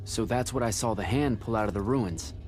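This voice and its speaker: A man's voice: fairly low pitch, brisk, a little mellow, soft background music, lightly acted. A man playing a brash, irreverent young battlemage recruit in a video game, with a casual, informal way of talking. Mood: cheeky, defiant. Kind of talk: video game cutscene acting.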